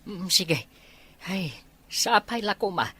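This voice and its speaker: A man's voice: high voice, animated, takes it slowly. A man playing a worried old grandfather in an Ilocano audio drama. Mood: worried, hopeful.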